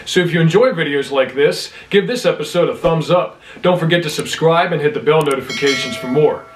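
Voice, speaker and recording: Higher-pitched man hosting a music tutorial. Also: fairly quick, noisy background. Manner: short, simple instructional phrases. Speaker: music production tutorial host. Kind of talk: step-by-step instructional screencast narration.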